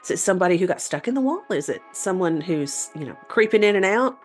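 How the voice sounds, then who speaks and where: A woman's voice: lowish voice, lively intonation, quick pace, soft background music. A woman co-hosting a talk show, recounting a paranormal case in an informal, conversational storytelling style. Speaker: podcast co-host and presenter of the case.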